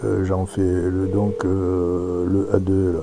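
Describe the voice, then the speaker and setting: A man giving an informal live demonstration, talking haltingly as he thinks aloud through each step. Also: low-pitched, monotone, noisy background. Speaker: presenter demonstrating amateur radio software.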